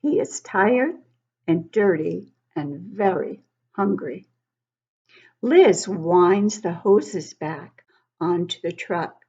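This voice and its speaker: A woman reading a picture book aloud to young children, expressively, pausing to explain things and ask the listeners questions. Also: fairly low pitch, animated, warm tone, slightly rough, highs cut off. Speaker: children's book club host and reader.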